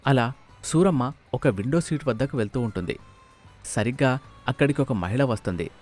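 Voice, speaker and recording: A man's voice: mid-range voice, lively intonation, moderate pace, a little mellow. A man narrating a scripted moral story as its storyteller. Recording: music under the voice.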